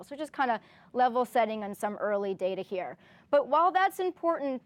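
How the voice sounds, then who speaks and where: A woman presents at a conference in a fairly high-pitched voice. She talks informally and conversationally, often tagging points with a questioning "right?". The sound is dry, with very little room in it. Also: clean tone, no echo. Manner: conversational, frequent "right?" check-ins.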